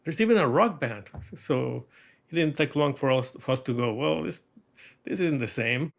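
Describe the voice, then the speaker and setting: A middle-aged man from Mexico speaking as an interviewed artist, telling reflective stories in a halting, thoughtful way with wry humour. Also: medium pitch, animated, creaky. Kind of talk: reflective conversational storytelling.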